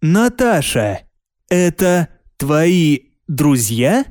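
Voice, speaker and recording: A man reading a language lesson aloud, pronouncing each word deliberately and clearly. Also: on the high side, sing-song, a little mellow, dry recording, studio quality. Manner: carefully spaced, deliberate pronunciation. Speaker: language teacher.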